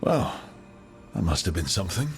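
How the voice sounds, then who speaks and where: An actor playing a friendly, plain-spoken adventurer hero in a video game, talking casually and briefly. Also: male voice, low voice, sing-song, normal speed, soft background music. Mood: quietly conversational. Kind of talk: video game character acting.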